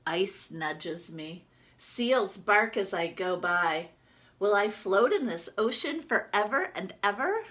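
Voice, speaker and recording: A woman reader plays a storybook bathtub duck, wistful and earnest. The voice is bright and lilting, and it takes its time over every phrase with dreamy wonder. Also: mid-range voice, animated, slow. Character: wistful, wonder-filled bathtub toy duck telling its tale.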